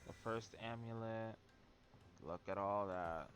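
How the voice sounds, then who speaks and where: A man's voice: fairly low pitch, slow pace, a bit bright, a little hiss or hum. A man giving casual live commentary while playing a video game, sometimes reading text aloud. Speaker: game streamer.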